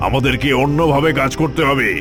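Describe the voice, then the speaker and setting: A man playing a vengeful, scornful tantric sorcerer in a cartoon, with a gravelly voice and a scolding, commanding manner. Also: mid-range voice, a little fast, close to an everyday voice. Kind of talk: dramatic cartoon voice acting. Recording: music behind the voice. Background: music.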